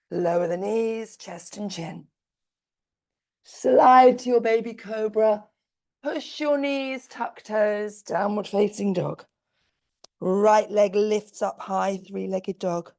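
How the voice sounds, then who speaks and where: A woman teaching a slow-flow yoga class, calmly cueing each pose while doing it herself, her voice a little croaky. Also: medium pitch. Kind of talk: slow guided yoga instruction.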